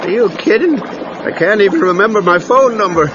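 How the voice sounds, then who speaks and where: Panicky high-voiced guard. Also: male voice, lively intonation, normal speed. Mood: panicked. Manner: frantic, whining, exasperated. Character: panicky, frightened male bank guard. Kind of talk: radio-drama character acting. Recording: dull cassette audio with music.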